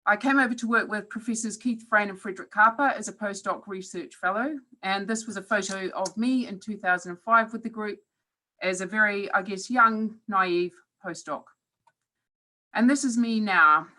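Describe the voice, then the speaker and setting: A woman's voice: mid-range voice, pushed and bright, low-quality recording. A woman in her forties with a New Zealand accent, giving a reflective webinar talk as a professor, with occasional hesitant fillers. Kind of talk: presentation talk.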